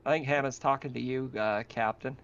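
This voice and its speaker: A man's voice: mid-range voice, steady tone, brisk, a bit bright, clean tone, highs cut off. A man running a tabletop role-playing game, narrating casually and informally. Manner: informal, laughs often. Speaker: game master.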